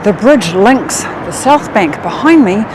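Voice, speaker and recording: A woman with a New Zealand accent talks into an action camera as she walks through a city at night. She mixes facts with quick, delighted remarks, and clear outdoor city noise sits behind her voice. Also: mid-range voice, expressive. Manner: chatty, easily impressed, exclaims often. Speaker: travel vlogger. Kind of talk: walking tour vlog with facts. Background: city street and traffic sounds.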